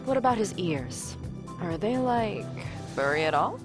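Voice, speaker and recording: An actress voicing a playful, curious alien woman crewmate in a visual novel game, with a fairly deep female voice and a probing manner. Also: animated, a little slow, over music, lightly acted. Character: teasing, curious alien crewmate woman with fangs and furry ears.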